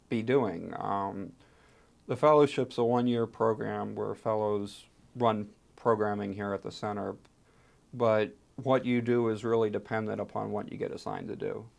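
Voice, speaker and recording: Man, low register with heavy creak, oral history interviewee, storytelling with emphatic pauses. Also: fairly even intonation, a little mellow. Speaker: interviewee, archive fellow and translator.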